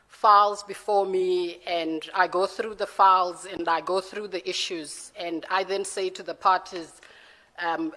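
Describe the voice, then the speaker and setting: A woman in her forties from Zimbabwe, answering formal interview questions in a measured, careful way and often correcting herself. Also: lowish voice, a bit bright. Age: 40s. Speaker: judicial candidate being interviewed. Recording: reverberant hearing room.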